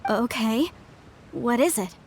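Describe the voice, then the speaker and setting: A woman's voice: a little high, animated, slow, a little mellow, a little hiss or hum. A woman playing an earnest, principled young amateur archaeologist in a video game, speaking articulately. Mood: curious.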